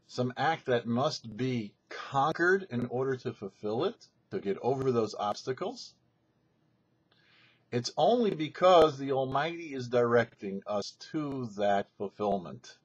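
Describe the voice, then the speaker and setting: A man speaking as a rabbi and show host, giving a scripted religious lesson in an emphatic, didactic way with deliberate phrasing and rhetorical questions. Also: mid-range voice, animated, husky, dull audio. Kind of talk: scripted religious teaching, sermon.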